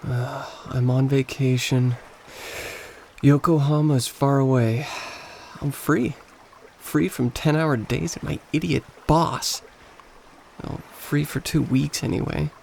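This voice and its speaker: An actor with an American accent playing a weary, grumbling English teacher on vacation in a radio play, speaking somewhat hesitantly. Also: male voice, mid-range voice, fairly even intonation, slow, creaky, a little mellow, a little hiss or hum. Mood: casual, hesitant.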